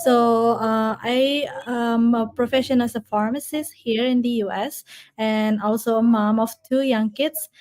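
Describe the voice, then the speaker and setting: A woman's voice: on the high side, fairly even intonation, measured pace, darkish tone. A woman in her thirties from Indonesia, a guest telling her story in a casual interview, with many fillers and restarts and frequent laughter.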